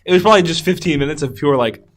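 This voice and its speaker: A man's voice: on the high side, rapid-fire. Enthusiastic, rambling man chatting casually. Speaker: podcast host. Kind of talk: casual film review chat.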